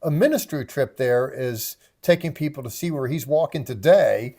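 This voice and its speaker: An older man hosting a ministry travel show, hearty and lively. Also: medium pitch, normal speed. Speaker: host. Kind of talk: casual co-hosting.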